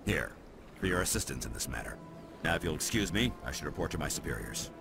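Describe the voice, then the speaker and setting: An actor playing a stern, officious security officer in a video game, with a clipped, formal manner. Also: male voice, a bass voice, very animated, quick pace, vocal fry, heavily acted. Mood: grateful, formal. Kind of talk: video game character acting. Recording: game music behind the voice.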